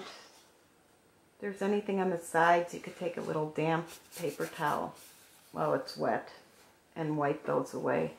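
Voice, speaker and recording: A woman with a hoarse, froggy voice calmly talking through a craft painting tutorial at her work table. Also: deep voice. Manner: calm, gentle instructional talk while working. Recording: echoey room with faint noise.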